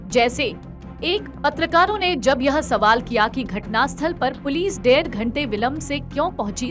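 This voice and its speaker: A woman narrates a scripted news report in a high voice with lively, swinging intonation. Music plays behind her delivery.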